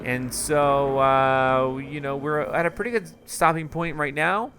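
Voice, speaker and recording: A man's voice: a little high, fairly even intonation, measured pace, fairly bright tone, slight background noise. A man with a tired, strained voice casually commenting on gameplay, thinking aloud with lots of fillers. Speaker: gaming video host.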